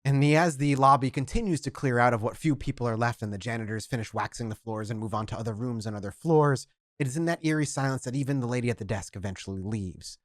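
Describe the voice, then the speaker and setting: A man narrating as game master of a tabletop game, describing scenes in a steady, detailed way. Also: medium pitch, fast talker, no room sound, clean studio sound.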